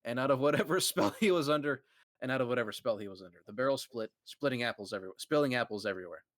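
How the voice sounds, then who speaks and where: A man's voice: mid-range voice, rapid-fire, no room sound, clean studio sound. A man hosting a comedic fanfic reading, narrating and laughing often. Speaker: host and narrator.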